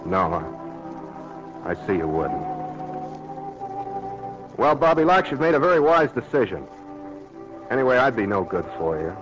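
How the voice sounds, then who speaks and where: A wry bachelor suitor in a 1940s radio play, tender and unsure of himself. His voice sits low, on a muffled old broadcast with clear music behind it. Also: sing-song, a little slow, long pauses. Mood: self-deprecating.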